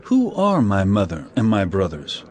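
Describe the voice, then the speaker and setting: An actor playing a firm, authoritative male teacher, speaking solemn, measured lines in a dramatized scripture reading. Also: fairly low pitch, wildly swooping pitch, darkish tone. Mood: earnest, declarative. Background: music.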